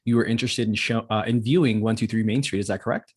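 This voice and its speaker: A low-pitched man talks quickly through a practice real estate sales call. His voice has a rough, husky edge. He is polite and agreeable, repeating details back, and occasionally stammers over a word. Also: fairly even intonation. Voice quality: occasional stammer, gets hung up on words. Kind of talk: role-played phone sales call.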